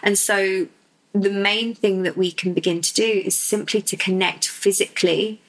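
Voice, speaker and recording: A woman who works as a sexuality coach, explaining softly and unhurriedly as a guest in a podcast interview, with many fillers. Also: mid-range voice, steady tone, moderate pace, rough recording.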